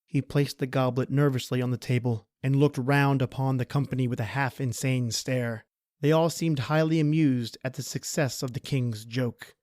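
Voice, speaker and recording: A man's voice: mid-range voice, fairly even intonation, normal speed, a little mellow, dry recording, clean studio sound. A man narrating a scripted horror story in a dramatic radio-play style.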